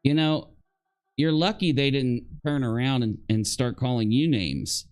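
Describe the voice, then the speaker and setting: A man giving casual live reaction commentary, halting as he thinks aloud and posing rhetorical questions to his viewers. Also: medium pitch, animated, darkish tone, a little hiss or hum. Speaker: livestream commentator.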